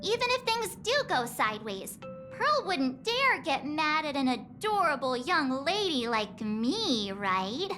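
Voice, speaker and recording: Super high bouncy game girl, cheeky and bubbly, swoops all over, soundtrack behind. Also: big pitch swings, a little slow, exaggerated voice. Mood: perky. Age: young adult. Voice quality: bright, bouncy, playful. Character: bubbly, cheeky young woman adventurer. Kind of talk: video game voice acting. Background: game soundtrack music.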